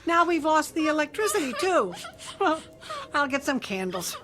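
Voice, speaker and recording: A woman's voice: high voice, sing-song, normal speed, noisy background. An actress playing a middle-aged mother who lives alone, curt and easily flustered but kindly underneath, in a naturalistic screen drama. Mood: alarmed.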